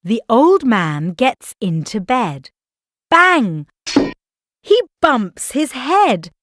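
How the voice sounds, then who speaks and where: A woman telling a picture-book story to young children in an expressive, exclamatory way, taking her time. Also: a little high, extremely slow, no room sound.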